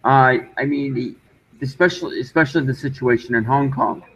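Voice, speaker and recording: A man arguing his point in casual online talk, halting and insistent, often repeating himself. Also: mid-range voice, a bit bright. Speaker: discussion guest. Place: online group voice call. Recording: dull, noisy voice-call audio.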